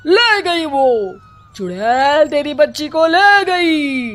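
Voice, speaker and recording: A woman playing an ominous village holy man in an animated moral story, proclaiming theatrically. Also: sits high, drawn-out words, exaggerated voice.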